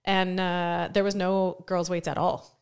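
A woman hosting a casual podcast chat about coaching, chatty and quick to laugh. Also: fairly low pitch, flat delivery, smooth. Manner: chatty, laughs often, says "like" a lot. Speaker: podcast host and CrossFit coach.

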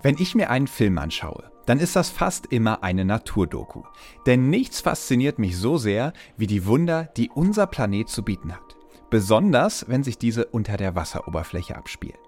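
Lively man reading an ad. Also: mid-range voice, moderate pace, soft background music. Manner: lively promotional. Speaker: ad reader. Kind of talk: read advertorial.

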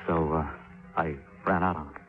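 An actor in a 1950s radio drama playing a nervous, shifty male fugitive who talks haltingly and evasively. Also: a bass voice, steady tone. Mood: nervous, confiding. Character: nervous, shifty male fugitive killer feigning humble harmlessness. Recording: tinny, phone-like 1950s radio recording.

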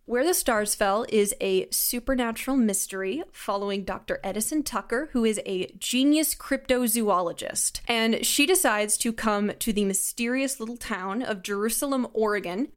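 A woman's voice: a little high, moderate pace, hardly any pauses, clean tone. A young adult woman answering interview questions as a podcast guest, conversational, articulate and enthusiastic. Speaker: audio drama creator, podcast guest.

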